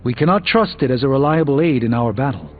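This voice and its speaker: A man's voice: mid-range voice, animated, natural voice. Man playing grave, learned sorcerer, muffled game audio. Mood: grave, explanatory. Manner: measured, formal, articulate. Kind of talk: video game character dialogue. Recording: dull band-limited game audio, music behind.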